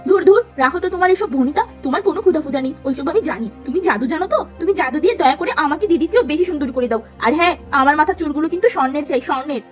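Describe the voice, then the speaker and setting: Woman doing a shrill, spiteful younger sister. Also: animated, racing, few pauses, soft background music. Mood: scornful. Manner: shrill, bossy, scornful.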